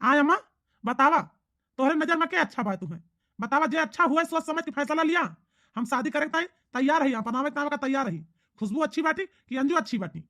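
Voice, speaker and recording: A performer plays an earnest young village son in a cartoon comedy, in a high, forceful, bright voice with an Awadhi accent. He is dutiful but keeps pressing and pleading. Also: animated, brisk, full character voice. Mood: insistent.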